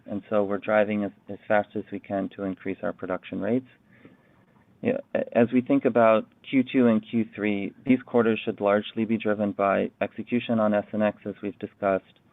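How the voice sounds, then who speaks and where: A man's voice: on the low side, vocal fry, no echo, phone-quality sound. A man giving prepared corporate remarks as an executive on an earnings call.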